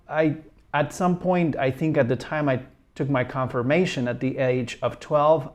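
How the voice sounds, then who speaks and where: Guy, medium pitch, casually telling his own story, careful and a bit hesitant. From Argentina. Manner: hesitant, measured phrasing. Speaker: lecturer answering audience questions.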